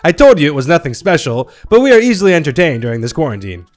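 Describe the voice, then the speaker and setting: A man's voice: on the high side, sing-song, fast talker. A man reading online stories aloud in a playful, wry storytelling manner. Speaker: narrator reading Reddit stories.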